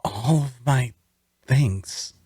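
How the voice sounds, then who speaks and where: A man's voice: mid-range voice, drawn-out words, warm tone, breathy edge, dry recording, clean studio sound, exaggerated voice. A man playing a weary, wary wounded prisoner who also narrates his story in an audio drama table read. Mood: uneasy, weak.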